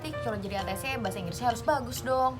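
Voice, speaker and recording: High-voiced woman over loud music. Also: rapid-fire. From Indonesia. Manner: chatty, asks and answers her own questions. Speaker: vlogger explaining air traffic control. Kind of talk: casual explainer vlog.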